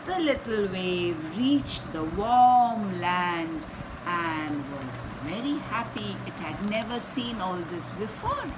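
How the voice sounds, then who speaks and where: Woman, children's storyteller, very lively intonation, slow pace, explanatory and questioning, read-aloud picture book style. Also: mid-range voice. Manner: expressive, asks the children questions and explains simply. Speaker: children's storyteller and channel host. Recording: clear background noise.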